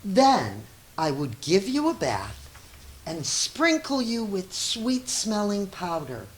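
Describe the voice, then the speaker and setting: Woman reading as a loving mother, slow and expressive. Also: mid-range voice, voice pushed up. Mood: tender. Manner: tender, emphatic reassurance. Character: loving, patient, reassuring mother of a small child. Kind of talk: storybook read-aloud. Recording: roomy echo with faint hiss.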